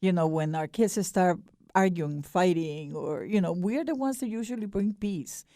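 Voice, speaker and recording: Low, creaky-voiced Ecuadorian woman. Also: normal speed, dark tone, dry recording, studio quality. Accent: Ecuadorian Spanish. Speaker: guest, board director of a peace nonprofit. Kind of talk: radio interview.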